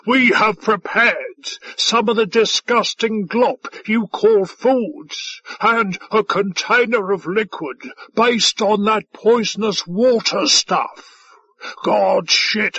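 A man's voice: sits high, slow pace, creaky, a bit bright, slightly rough, dull audio, pitched above the performer's own voice, heavily acted. A man voicing a huge, boisterous male tentacled alien officer in an audiobook, bellowing imperiously at everyone. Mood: boisterous boasting. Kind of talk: audiobook character voice.

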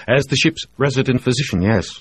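A man's voice: medium pitch, brisk, husky, voice pushed up, natural voice. A man voicing a sly, leering English ship's doctor who talks in a smug, teasing way. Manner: smug, teasing, with a crooked grin.

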